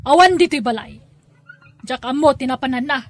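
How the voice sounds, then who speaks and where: A woman playing a sharp-tongued, jealous, domineering wife and stepmother in an Ilocano radio drama, scolding and curt. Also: sits high, moderate pace, lightly acted. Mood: curt, hostile.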